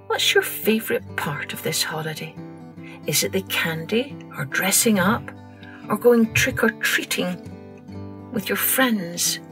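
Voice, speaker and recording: An older woman with a Scottish accent, telling stories and poems to children in an expressive, theatrical, deliberate way. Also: mid-range voice. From Scotland. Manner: theatrical, deliberate, drawn-out delivery with hushed passages. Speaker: children's storytelling podcast host. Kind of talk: expressive storytelling and poem recital for children.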